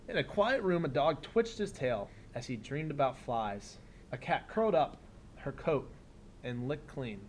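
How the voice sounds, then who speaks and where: A man teaching young children, reading a picture book aloud expressively and pausing for simple explanatory asides. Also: mid-range voice, a little hiss or hum. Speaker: elementary school teacher.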